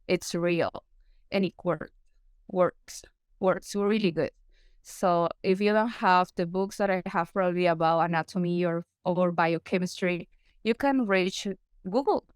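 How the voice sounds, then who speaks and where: A woman's voice: on the low side, clean tone, no room sound, clean studio sound. A Peruvian woman, a native Spanish speaker, who answers interview questions in careful English and often searches for the right word. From Peru. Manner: halting, searching for English words. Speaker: guest consultant, periodontist.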